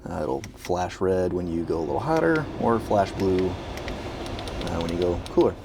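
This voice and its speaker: A man, a car salesman, giving a casual walkaround tour of a vehicle at a dealership, talking in a relaxed, plain way with frequent fillers. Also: low-pitched, unhurried, gravelly, noisy background.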